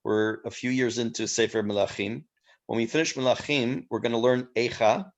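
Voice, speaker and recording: Man teaching a Torah class, casual chatty delivery, lots of fillers, middle-pitched voice. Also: steady tone, normal speed, lo-fi sound. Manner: warm, effusive thanks with many fillers.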